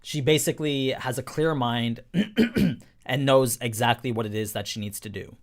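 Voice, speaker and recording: A young man streaming live, chatting casually with a slightly hoarse voice, rambling with fillers and restarts while he reads the chat. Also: mid-range voice, moderate pace.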